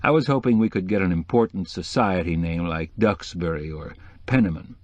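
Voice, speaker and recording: Audiobook character, male reader as male reporter, blunt, probing, direct, fairly low voice. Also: moderate pace, a little mellow, no echo, muffled recording. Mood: casual. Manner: short direct questions. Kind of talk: acted audiobook dialogue.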